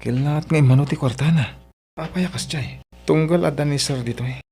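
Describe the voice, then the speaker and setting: An actor playing an earnest, hesitant young working man in a Filipino radio drama, speaking haltingly. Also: fairly high pitch, darkish tone, natural voice. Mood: troubled.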